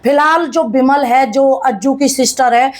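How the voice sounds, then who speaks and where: A woman's voice: high-pitched, measured pace. A woman, giving casual, blunt, chiding gossip commentary to viewers in colloquial speech. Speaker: YouTube commentator.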